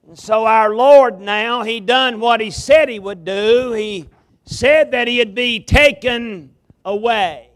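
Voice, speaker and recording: Southern preacher man at a revival, high gravelly voice, dry close sound, drawls it out. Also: a bit bright, dry recording. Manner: folksy, drawn-out preaching cadence. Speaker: pastor, guest preacher. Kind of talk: revival sermon. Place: large tabernacle revival meeting. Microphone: amplified mic at a pulpit.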